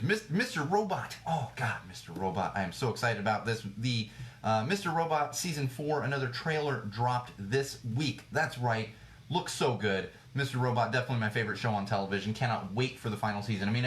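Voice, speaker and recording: A man hosting a talk show, giving blunt, indignant commentary on the news, repeating phrases for emphasis, and a little hoarse as he loses his voice. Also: mid-range voice, fairly bright tone, reverberant. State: slightly hoarse, losing his voice. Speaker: podcast host. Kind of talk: opinionated news commentary rant.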